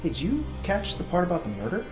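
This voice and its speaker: A man's voice: high-pitched, wildly swooping pitch, fast talker, reverberant, music in the background. A man playing a dry, reluctant investigator. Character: reserved male insurance investigator.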